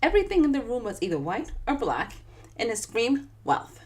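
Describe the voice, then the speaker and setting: Animated woman with a Dominican accent. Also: a little high, normal speed. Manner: chatty and animated, talking straight to viewers. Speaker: author reading her own book. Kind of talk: live book reading with chatty asides.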